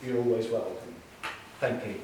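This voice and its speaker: A man's voice: lowish voice, fairly even intonation, normal speed, a little mellow, slight background noise. Man speaking far off in an echoey hall. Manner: hesitant, many restarts and fillers. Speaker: college representative giving opening remarks. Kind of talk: speech to an audience. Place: large echoey room. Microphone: distant from the recording mic.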